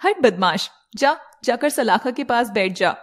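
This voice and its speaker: A woman voicing a caring, protective young heroine in an animated fairy tale, over a noisy background. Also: fairly high pitch, unhurried. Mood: affectionate.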